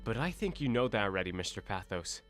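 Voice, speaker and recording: Man playing a forceful, bright-voiced defense lawyer. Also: on the low side, sing-song, fast. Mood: accusing. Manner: forceful, accusatory. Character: earnest, combative male defense lawyer. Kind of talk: audio drama acting.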